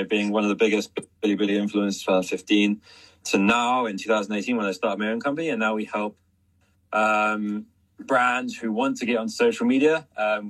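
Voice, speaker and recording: A man's voice: fairly low pitch, poor audio. A man casually introducing himself as a speaker on a panel. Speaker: panel speaker, influencer and agency founder.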